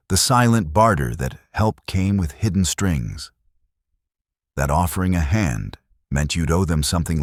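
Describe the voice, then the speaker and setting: A man reading scripted western romance narration in a measured, dramatic storytelling manner, with a gravelly voice. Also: rumbling low, no echo, studio quality. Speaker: audiobook narrator.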